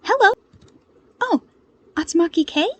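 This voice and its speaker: A woman voicing a cheerful, modest young hero strategist in an audio story, with an earnest, gently exasperated manner. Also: very high-pitched, expressive, measured pace, no echo, muffled recording, pitched above the performer's own voice. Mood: cheerful, welcoming.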